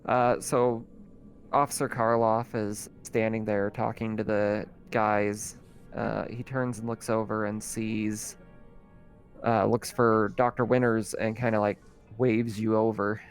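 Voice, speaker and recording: Man, game master, creaky voice, casual halting narration, tabletop roleplay, faint music behind. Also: fairly low pitch, flat delivery. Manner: halting, improvised delivery.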